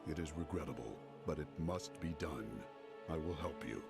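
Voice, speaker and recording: An actor playing a stern, resolute warrior hero in a fantasy video game, terse and formal in manner. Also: male voice, very deep voice, normal speed. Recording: music behind the voice.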